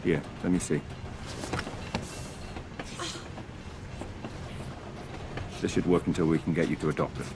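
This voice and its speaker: An actor playing a suave, commanding British secret agent in a video game, dry, terse and assured. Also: male voice, very deep voice, fairly even intonation, very fast, creaky. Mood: quiet concern. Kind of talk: cinematic video game acting. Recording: music behind, vehicle noise.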